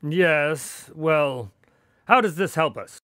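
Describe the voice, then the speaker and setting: An actor playing a proper, doubting doctor and detective's sidekick in a radio drama, politely formal and dry in manner. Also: male voice, on the high side, animated, slow, bright, forceful tone, no room sound, exaggerated voice. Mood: doubtful. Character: skeptical, proper male doctor, detective's long-suffering sidekick.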